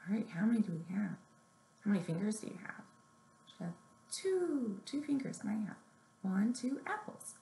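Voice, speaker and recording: A woman's voice: mid-range voice, very animated, normal speed, creaky, long pauses, warm tone. A woman in her thirties hosting a children's story time, giving simple, encouraging instructions to little kids. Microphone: webcam or laptop at arm's length. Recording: roomy echo, noticeable background noise, webcam sound.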